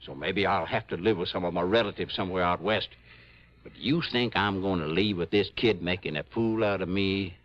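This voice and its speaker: Man acting old small-town police chief, low voice, folksy, stubborn, proud, radio drama, dull vintage recording. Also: brisk, noisy background, lightly acted. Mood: indignant. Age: older. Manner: folksy, grumbling, mangles big words.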